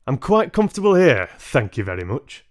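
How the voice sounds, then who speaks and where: Storybook role: big gruff pompous dad, performed by a male reader, loud and irritable, swooping emphatically. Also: medium pitch, very animated, normal speed, voice pushed up, natural voice. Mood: stubborn, annoyed. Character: large coarse gruff blustering father, easily irritated.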